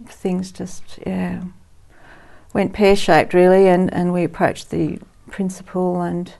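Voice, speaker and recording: A woman, a guest in a TV studio interview, talking warmly and reflectively with frequent pauses. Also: fairly low pitch, level tone, vocal fry. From Netherlands, raised in Australia. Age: 60s. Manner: warm, reflective, frequent ums and soft laughs.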